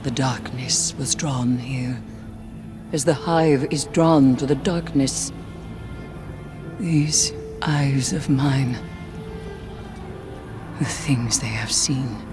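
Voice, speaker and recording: A woman's voice: extremely low pitch, very slow, pauses often, over music, exaggerated voice. Haunted, solemn mystic warrior woman. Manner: solemn, cryptic, breaking into whispers. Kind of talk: video game voice acting.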